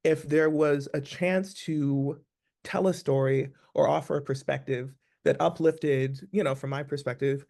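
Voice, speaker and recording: Higher-pitched American man in conversation. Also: steady tone, measured pace, gravelly, darkish tone. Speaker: actor being interviewed. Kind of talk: conversational interview.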